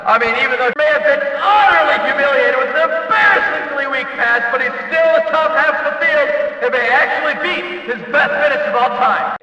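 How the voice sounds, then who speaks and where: A man playing an excitable, showy race announcer in a video game, calling the action with emphatic, stretched-out delivery. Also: extremely high pitch, lo-fi sound, full character voice. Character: excitable, booming male demolition-derby race announcer. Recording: roomy echoing reverb, distant from mic.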